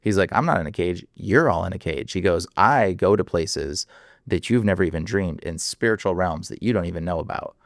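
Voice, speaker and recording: A man speaking as a guest in a casual interview, animated and emphatic, full of fillers. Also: deep voice, a little fast, gravelly, no echo, studio quality.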